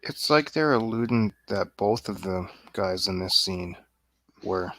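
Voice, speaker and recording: Male co-host from Ireland, low-pitched and creaky, deadpan, clipped replies, dry close sound. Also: a little slow, dry recording. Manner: terse, deadpan, dry one-word answers. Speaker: co-host of a film review show. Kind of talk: casual chat.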